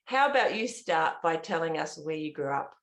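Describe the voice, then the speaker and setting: A woman hosting a podcast interview, enthusiastic and quick to react with amazement. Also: deep voice, lively intonation. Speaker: podcast host. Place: office. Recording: roomy office with faint music.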